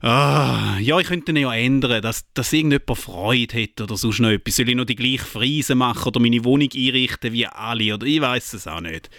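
A man's voice: medium pitch, fairly quick, few pauses, dry recording, studio quality. Ranting man, Eastern Swiss German accent. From Eastern Switzerland. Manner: animated, ranting. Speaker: podcast co-host. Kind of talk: casual chat.

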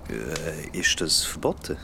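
A man's voice: a bass voice, lively intonation, takes it slowly, vocal fry, very noisy, heavily acted. A man playing a casual, hesitant, good-natured young construction worker with a Swiss accent in an audio drama. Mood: nervous, polite. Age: young adult.

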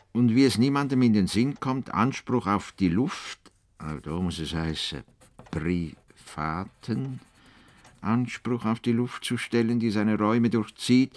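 Slow, deep-voiced farmer-philosopher. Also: male voice, fairly bright tone. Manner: deliberate, pondering, self-correcting. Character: dreamy, self-assured farmer-philosopher, male, earnest world-improver. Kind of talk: radio play acting.

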